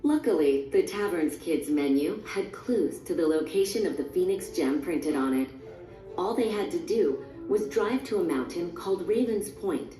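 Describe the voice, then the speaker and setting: A woman's voice: on the low side, lively intonation, normal speed, dark tone, roomy sound, distant mic, poor audio. A woman reading a scripted children's story as a storybook narrator. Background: music.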